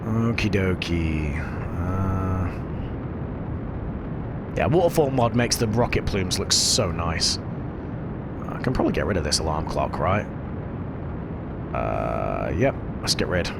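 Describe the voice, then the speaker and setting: A man's voice: sits low, sing-song, takes it slowly, gravelly, pauses often, very noisy. A British man streaming a game, chatting casually and self-deprecatingly with his viewers while fighting off a cough. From United Kingdom. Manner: rambling, self-deprecating, talks to chat. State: lingering cough. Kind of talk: casual gameplay commentary.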